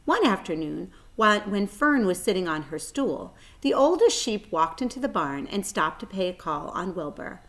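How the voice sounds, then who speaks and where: A woman reading a children's story aloud like a teacher, expressive and addressing young listeners. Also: mid-range voice, normal speed, few pauses. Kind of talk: storybook narration.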